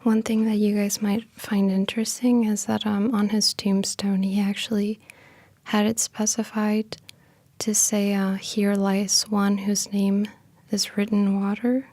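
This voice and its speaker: A woman speaking softly in a breathy half-whisper, gentle and unhurried, in an intimate ASMR style close to the microphone. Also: medium pitch, little pitch movement, no room sound. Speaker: ASMR creator and host. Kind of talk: soft-spoken ASMR, casual explaining and poetry reading.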